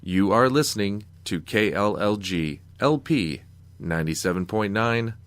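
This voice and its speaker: A man's voice: fairly low pitch, animated, a little slow, a bit bright, no echo. A man with an American accent reading a scripted announcement as a radio show's announcer.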